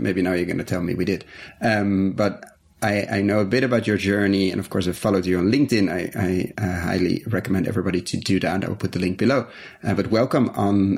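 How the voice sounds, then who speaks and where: A man's voice: deep voice, gravelly, darkish tone, husky. A man hosting a podcast, interviewing casually in a chatty way and often repeating words as he goes. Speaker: podcast host.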